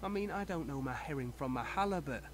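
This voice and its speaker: An actor playing a talkative, hapless fish stall owner in a video game, pitching his wares with a salesman's patter. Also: expressive, quick pace, lightly acted. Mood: rueful. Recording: game audio with music behind.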